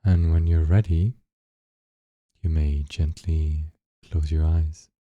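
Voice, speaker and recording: A man leading a guided meditation, giving gentle, unhurried instructions with long pauses between short phrases. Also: a bass voice, little pitch movement, no echo, muffled recording.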